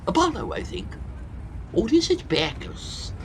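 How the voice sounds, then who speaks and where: Comic audio drama: pompous old hypochondriac antiquarian, slow, highly animated, faint background noise. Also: male voice, full character voice. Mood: proud, boastful. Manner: theatrical, pompous, fretful. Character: fussy, self-pitying hypochondriac old Victorian antiquarian professor.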